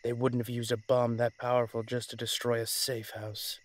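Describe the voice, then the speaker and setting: A man playing a commanding, weary dragon-shifter mafia boss in a dramatic roleplay audio, speaking in a measured, authoritative way. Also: medium pitch, completely flat delivery, close to an everyday voice. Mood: grave, commanding.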